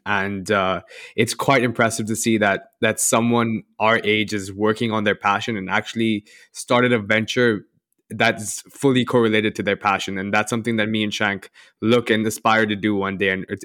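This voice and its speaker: Young man, lowish voice, dry close sound. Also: fairly bright tone, no room sound. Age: 20s. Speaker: podcast co-host. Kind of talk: conversational podcast interviewing.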